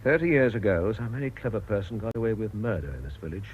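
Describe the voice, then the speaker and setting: Actor as brisk, low-voiced inquisitive British gentleman. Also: expressive, lightly acted. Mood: decisive. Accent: British English. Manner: brisk, probing, dramatic. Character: brisk, inquisitive man in charge, urbane organiser. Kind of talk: radio drama acting. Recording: dull lo-fi radio recording with noise.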